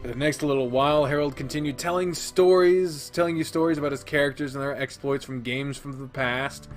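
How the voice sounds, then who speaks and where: Male let's-play reader, steady second-person narration, faint guitar behind. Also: mid-range voice, fairly quick. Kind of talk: reading a visual novel aloud.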